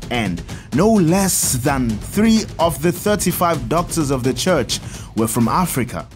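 Kindly priest for audio drama: instructive storyteller, animated melodic range, music behind. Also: male voice, on the high side. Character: kindly priest, Father Tad, teaching children about saints. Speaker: priest character telling saints' stories.

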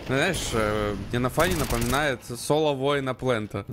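A man's voice: mid-range voice, animated, takes it slowly. Male esports caster over game gunfire. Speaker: esports match commentator. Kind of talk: live Counter-Strike commentary with casual analysis. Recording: game gunfire and noise behind. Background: gunshots and game ambience.

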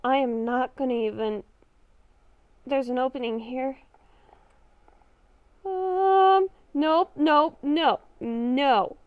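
High-pitched woman in slow, roomy game roleplay. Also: lo-fi sound. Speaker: gaming video creator playing a roleplay character. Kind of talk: improvised in-character game roleplay.

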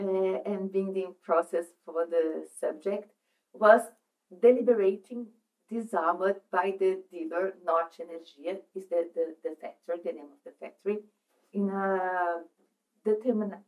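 Woman, middle-aged, Brazilian accent, unhurried and halting seminar talk, seated in a meeting room. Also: medium pitch, rough recording. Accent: Brazilian Portuguese. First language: Portuguese. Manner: halting, searching for words, many restarts. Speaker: psychoanalyst speaker. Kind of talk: presentation at a seminar.

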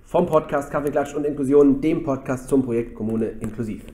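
A man co-hosting a casual podcast, slightly hoarse from a cold, joking his way through quick banter. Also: mid-range voice, mellow, roomy sound. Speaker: podcast co-host. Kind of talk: casual chat. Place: office room.

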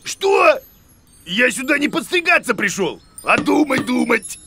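High, wildly swooping cartoon grump. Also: male voice, big pitch swings, a little slow, sharp tone, faint music behind, heavily acted. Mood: grumpy, blustering. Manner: blustering, muttering, self-assured. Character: grumpy comic bearded adult male cartoon teacher creature, bumbling know-it-all. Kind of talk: animated children's cartoon acting.

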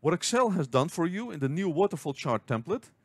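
A man narrating a scripted spreadsheet tutorial, explaining step by step in a clear, measured way. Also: a little high, very animated, no echo. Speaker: finance tutorial host. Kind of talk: scripted screen-recording tutorial.

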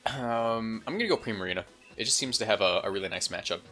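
Male gaming host, lowish and slightly congested, informal thinking-aloud play commentary, faint music underneath. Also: fast talker, pushed and bright. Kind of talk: casual gameplay commentary.

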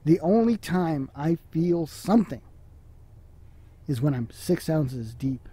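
Man playing a husky, downcast family man. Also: on the high side, expressive, mellow, full character voice. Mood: despairing. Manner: halting, downcast. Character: despondent, guilt-ridden alcoholic husband and father. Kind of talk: audio drama acting.

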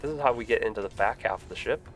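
A man's voice: mid-range voice, racing, soft background music. Stuffy-voiced man streaming a game. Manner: relaxed, rambling, frequent fillers. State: stuffy from a cold. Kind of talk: casual live-stream game commentary.

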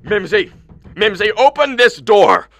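Man playing a blustering, exasperated father, wildly animated. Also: high voice, unhurried, faint music behind. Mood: angry, shouting. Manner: loud, sarcastic, hectoring. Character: exasperated, blustering middle-aged father on his daughter's wedding day. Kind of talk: radio play acting.

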